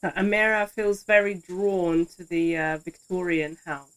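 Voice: mid-range voice, steady tone, a little slow, fairly bright tone, poor audio. A tabletop roleplay player talking casually and hesitantly about their character's choices. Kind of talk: casual game chat.

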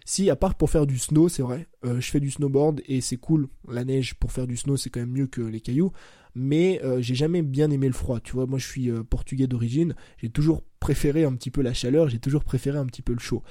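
A young man talks through his podcast in a loose, informal ramble, often correcting himself mid-sentence. His voice is very dark and mellow. The recording is dry and close, with no room sound. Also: mid-range voice, brisk, dry recording. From France. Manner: chatty, loose and informal, says "tu vois" and "bah" constantly, self-corrects. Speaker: podcast host. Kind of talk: casual solo podcast monologue. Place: at home.

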